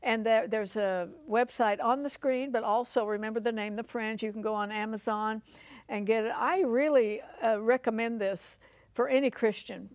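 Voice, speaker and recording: Older female talk show host, American, higher-pitched, dry close studio sound. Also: fairly even intonation, normal speed, a little mellow, no room sound. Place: furnished living-room style TV studio set.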